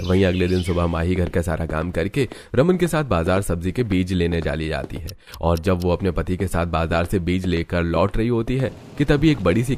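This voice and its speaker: A man's voice: deep voice, a little mellow, slight background noise. A man reading scripted story narration for a cartoon.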